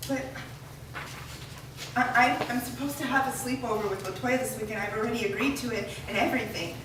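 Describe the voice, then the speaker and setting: A woman playing a troubled, defiant fourteen-year-old girl with a halting delivery in a staged drama reading. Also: fairly high pitch, natural voice. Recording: echoing room, distant mic, clear background noise.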